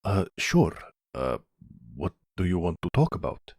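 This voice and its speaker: A man's voice: low-pitched, huge pitch swings, a little slow, a little mellow, breathy edge, no echo, clean studio sound, exaggerated voice. A man voicing a polite, nervous young grown son in a mafia ASMR roleplay, hesitant and stammering. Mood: nervous, defensive.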